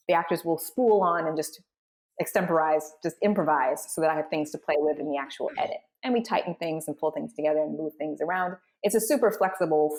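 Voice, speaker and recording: A woman hosting as a voice director, narrating and explaining in a clear, articulate way. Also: low-pitched, darkish tone. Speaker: voice director and host.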